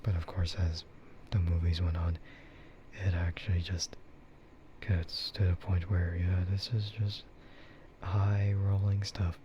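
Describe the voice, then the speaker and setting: A man speaking softly in a breathy half-whisper, rambling casually and hesitantly like an ASMR streamer close to the mic. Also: deep voice, little pitch movement, takes it slowly. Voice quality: soft, breathy, often whispered. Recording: muffled lo-fi stream audio, clear background noise.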